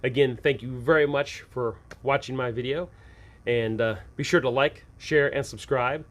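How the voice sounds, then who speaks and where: A man's voice: mid-range voice, fairly even intonation, normal speed. A man hosting an informal advice video for game masters, talking conversationally and emphatically and often repeating his points. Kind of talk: informal advice talk to viewers about running tabletop games.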